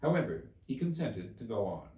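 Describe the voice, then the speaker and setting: A man reading a classic children's story aloud as expressive scripted narration. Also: fairly low pitch, moderate pace. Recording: roomy echo, distant mic.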